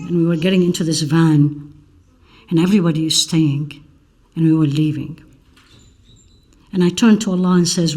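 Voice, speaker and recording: A woman's voice: lowish voice, darkish tone. Earnest woman lecturer from Iraq. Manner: earnest, asks rhetorical questions, mixes in Arabic prayers and verses. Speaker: physician giving a conference lecture. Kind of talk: faith-based public lecture.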